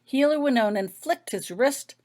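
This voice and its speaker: A woman's voice: high-pitched, animated, normal speed, darkish tone. A woman reading her own story aloud as scripted audiobook narration.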